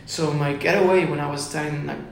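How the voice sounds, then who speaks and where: A young man from Ecuador, a musician guest chatting casually on a podcast, excited and saying "dude" often. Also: fairly high pitch, brisk, vocal fry, a little hiss or hum. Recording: very echoey room.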